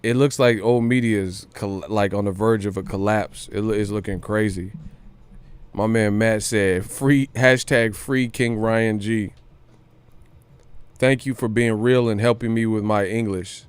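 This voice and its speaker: A man's voice: lowish voice, fairly even intonation, a little slow, clean tone. A man hosting a boxing news livestream, talking casually in slang with some swearing and repeating phrases for emphasis. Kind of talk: casual live-stream commentary.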